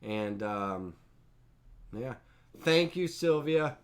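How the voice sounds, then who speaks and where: A man hosting a live-streamed software tutorial with a head cold, sounding stuffy and nasal, rambling casually and talking to chat viewers by name. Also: medium pitch, animated, extremely slow, smooth. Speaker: host and instructor.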